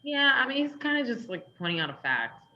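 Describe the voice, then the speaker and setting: A woman's voice: high-pitched, big pitch swings, fairly quick. A woman playing a candid, placating character in a comedic table read, speaking conversationally, on a slightly dull recording.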